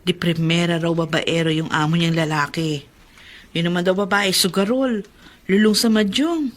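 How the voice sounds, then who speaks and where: A woman's voice: fairly low pitch, steady tone, normal speed, lightly acted. A woman playing a gossipy, caring housewife in a radio drama, speaking with a Filipino accent. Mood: gossipy, disapproving. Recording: faint noise behind the voice.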